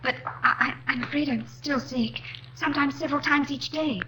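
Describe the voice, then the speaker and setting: An actress playing a meek, frail, anxious Victorian lady with a hesitant, breathy delivery in a 1950s radio drama. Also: sits high, moderate pace. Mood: weak, anxious. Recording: hissy, noisy old radio recording.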